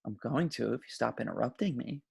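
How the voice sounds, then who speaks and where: A man's voice: medium pitch, lively intonation, fairly quick, gravelly, darkish tone, breathy edge, dry recording, studio quality, heavily acted. A man playing a boyish, sarcastic husband of twenty-nine, with a casual manner, in a table read of a film script. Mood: bantering.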